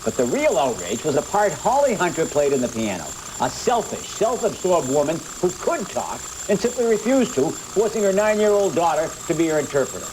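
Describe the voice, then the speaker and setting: A woman's voice: sits low, very animated, brisk, few pauses. A woman panelist delivering prepared opinion commentary on television. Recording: noisy 1990s TV broadcast tape.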